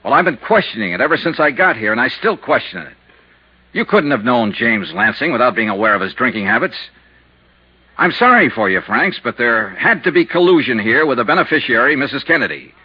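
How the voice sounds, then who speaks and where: Hard-boiled male investigator, medium-pitched and husky, blunt, muffled 1950s radio serial. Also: sing-song, a little fast. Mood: stern, accusing. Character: tough, persistent male insurance investigator narrating his case. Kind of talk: 1950s radio drama acting and narration.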